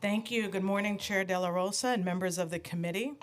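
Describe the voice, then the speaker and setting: A woman who is a city agency commissioner giving formal testimony at a council hearing in a measured, official reading tone, into a desk microphone. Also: mid-range voice.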